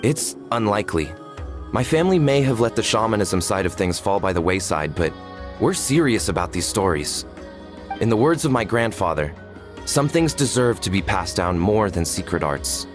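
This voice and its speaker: Earnest, animated tribesman. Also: lowish voice, moderate pace, music in the background. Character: modest, earnest tribesman proud of family stories. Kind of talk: video game voice acting.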